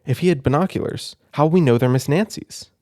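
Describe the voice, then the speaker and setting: An eager, excitable storybook detective, as a man reads him aloud. He speaks in animated exclamations, pitched up above an ordinary male voice. The sound is close and dry. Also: brisk, dry recording, lightly acted. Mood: playful, insistent.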